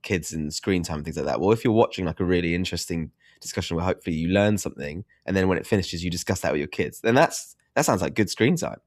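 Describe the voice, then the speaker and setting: A man's voice: low voice, animated, quick pace, hardly any pauses, no room sound, clean studio sound. A young man, an author being interviewed, with a nasal, congested voice; thoughtful and self-deprecating, laughing often. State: congested from hay fever. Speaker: guest author. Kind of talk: casual interview chat.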